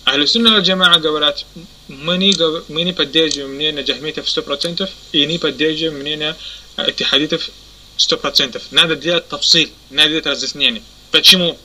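Bright-voiced man teaching, checking understanding. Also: a little high, fairly even intonation, rough recording. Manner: keeps checking that listeners understand; halting, many fillers and self-corrections. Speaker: religious teacher. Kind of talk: explanatory lesson.

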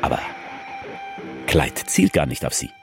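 A male narrator with an extremely low voice, telling a dramatic story in a radio play. Music runs clearly underneath his scripted delivery. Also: lively intonation, a little slow, exaggerated voice. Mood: intense storytelling. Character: male narrator recounting an outlaw couple's rampage.

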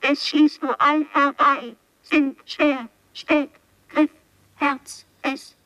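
An actress playing a barely conscious young girl in an experimental radio play, speaking in halting, disjointed fragments. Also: sits high, little pitch movement, fairly bright tone, no echo, exaggerated voice, poor audio. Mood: dazed, fragmented.

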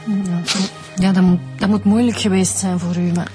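An actress with a Flemish accent playing a blunt, brisk police detective in a radio drama. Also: female voice, mid-range voice, fairly even intonation, warm tone, soft background music, natural voice. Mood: firm. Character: sharp-tongued female police detective.

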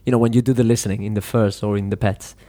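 A man hosting a podcast interview, talking in a relaxed way and filling his sentences with "you know". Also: fairly low pitch, very fast. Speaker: podcast host, English teacher. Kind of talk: casual interview chat.

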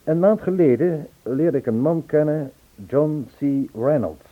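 An actor playing an earnest, self-absorbed, worldly middle-aged composer in a radio drama, on a muffled, tinny old recording. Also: male voice, fairly high pitch, lively intonation, measured pace. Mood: earnest, indignant.

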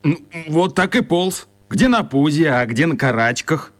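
An actor playing a plump young foreign spy, earnest and comically matter-of-fact, in a radio play. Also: male voice, on the high side, animated, measured pace. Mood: weary.

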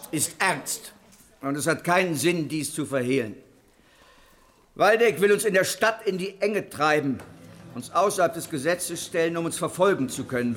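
An actor in a radio drama playing a grave leader of persecuted rebels, speaking in an earnest, declamatory way. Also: male voice, a little high, fairly bright tone. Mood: grave, rallying.

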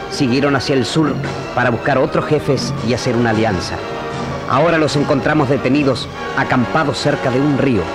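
Narrator, man, Argentine accent: grave, poetic declamation for radio drama, medium register, musical bed. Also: medium pitch. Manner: solemn, literary, declamatory.

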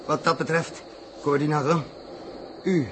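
An actor playing a brisk, commanding spaceship captain in a 1960s science fiction radio drama. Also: male voice, a little high, steady tone, lightly acted. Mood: concerned. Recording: noisy vintage radio drama recording.

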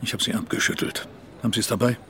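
A burly blackmailer in a crime radio play. He is blunt, cocky and menacing, with a sharp, forceful tone and gravelly creak. Faint noise sits behind him. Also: fairly low pitch, steady tone, very fast. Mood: cocky, impatient. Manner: blunt, demanding.

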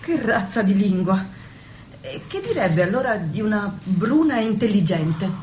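Bit of background noise, woman playing a dignified young noblewoman in a radio play, formal and measured. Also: mid-range voice, big pitch swings, a little mellow, close to an everyday voice. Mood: indignant. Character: devoted young noblewoman, dignified daughter and bride. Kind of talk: stage-style radio drama acting.

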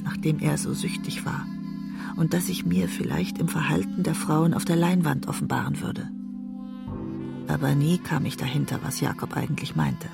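A weary woman in love in a literary radio play. Her voice crackles with fry and often sinks toward an intimate, breathy murmur. Music plays clearly behind her. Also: low-pitched. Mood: reflective, melancholy. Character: weary, intense woman lover, narrating and confronting her partner. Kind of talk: radio drama acting and literary narration.

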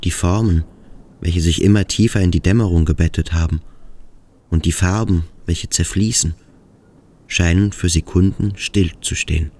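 A man in a radio play acting a brooding, dreamy schoolboy who muses in deliberate, poetic phrases. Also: deep voice, fairly even intonation, dark tone. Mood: hushed, brooding. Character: brooding, dreamy adolescent schoolboy lost in his sensations. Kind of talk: German radio play acting, introspective monologue and dialogue.

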